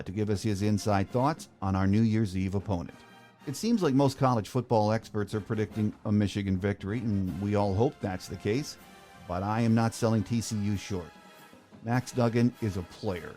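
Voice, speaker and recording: A man's voice: on the low side, warm tone. A man hosting a sports podcast, reading a prepared opening and then interviewing his guest with easy fillers. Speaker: podcast host.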